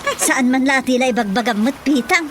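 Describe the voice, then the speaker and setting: A woman's voice: high-pitched, lively intonation, brisk. A woman playing a scolding, bossy villager in a radio comedy, with a commanding manner. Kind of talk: radio comedy drama acting.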